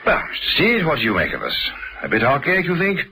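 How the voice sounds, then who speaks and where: An actor playing a suave, worldly British banker, genial but guarded, with a dry manner, in vintage radio drama. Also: male voice, on the high side, wildly swooping pitch, quick pace, sharp tone, heavily acted. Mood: wryly genial. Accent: British English. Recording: hissy vintage radio recording.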